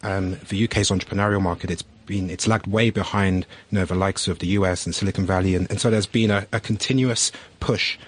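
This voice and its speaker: A man's voice: low voice, fairly even intonation, a little fast, few pauses, slightly rough. A man who grew up in the UK, speaking as an entrepreneur on a discussion panel in a thoughtful, explaining way. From United Kingdom. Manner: thoughtful, explanatory, frequent "right?" tags.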